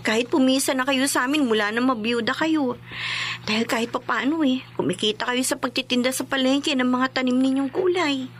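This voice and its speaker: A woman's voice: high-pitched, a little fast, natural voice. A woman playing a nervous young wife in a radio drama, respectful and hesitant. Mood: explaining.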